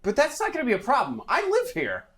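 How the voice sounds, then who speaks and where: A man's voice: very high-pitched, huge pitch swings, fast, fairly bright tone. A man playing a tabletop fantasy game, chatting casually and speaking for his character.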